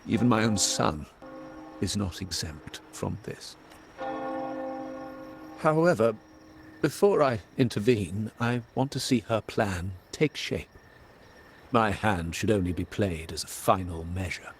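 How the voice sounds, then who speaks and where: An actor playing a stern old family patriarch in a video game, measured and solemn, with a gravelly voice. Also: male voice, lowish voice, expressive, full character voice. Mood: grave, resolute. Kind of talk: dramatic video game voice acting. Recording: noisy, night insect ambience behind.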